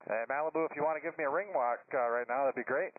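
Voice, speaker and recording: Fast-talking male controller over tinny radio. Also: medium pitch, dry recording. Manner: directive, informal, encouraging. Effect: radio transmission. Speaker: air traffic controller. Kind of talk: live air traffic radio instructions. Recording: tinny narrowband aviation radio.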